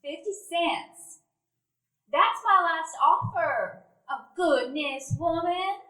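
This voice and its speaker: An actress playing a haughty rich mill owner's wife, bargaining pushily in a live radio play. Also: female voice, extremely high pitch, lively intonation, takes it slowly, sharp tone, full character voice. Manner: pushy, bargaining, exclaiming. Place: dinner theater hall with audience. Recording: roomy live stage recording.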